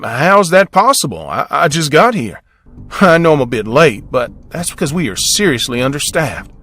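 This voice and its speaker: A man's voice: a little high, big pitch swings, moderate pace, a bit bright, faint music behind. An actor playing a short, smiling, chatty delivery man with a Southern US accent. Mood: flustered.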